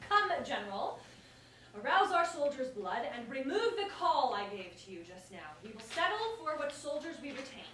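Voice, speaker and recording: A woman's voice: high-pitched, very animated, moderate pace, sharp tone, full character voice. A woman playing a proud, principled ruler in a staged verse comedy, declaiming her lines. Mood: indignant, resolute. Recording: distant mic in echoey room, hiss.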